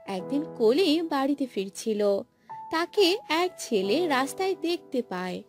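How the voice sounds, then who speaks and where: A woman tells a cartoon fairy tale in scripted narration. Her voice is high and very lively in pitch, delivered slowly. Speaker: storyteller. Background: music.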